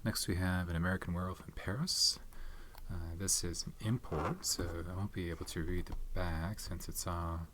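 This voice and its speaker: A soft-spoken man in his thirties hosting an ASMR channel, rambling casually about movies with many fillers and some whispered words. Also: deep voice, fairly even intonation, moderate pace, noisy background. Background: tapping and keyboard clicks.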